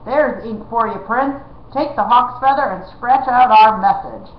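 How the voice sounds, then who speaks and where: A woman voicing a big, crafty, bullying male outlaw who talks loud and coarse. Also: on the high side, measured pace, a little hiss or hum, close to an everyday voice. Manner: loud, coarse rustic talk. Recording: roomy, slightly dull recording.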